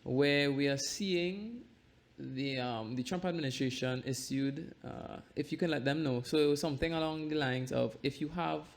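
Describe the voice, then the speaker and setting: A man's voice: fairly high pitch, normal speed, creaky. A man hosting a podcast in a casual interview style. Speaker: podcast host.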